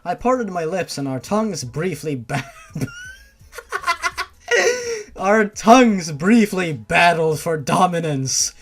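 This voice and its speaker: High-voiced man reading theatrically, very animated. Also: takes it slowly, long pauses, soft background music. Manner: theatrical, exaggerated. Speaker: story reader. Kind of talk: comedic dramatic reading of fan fiction, with asides.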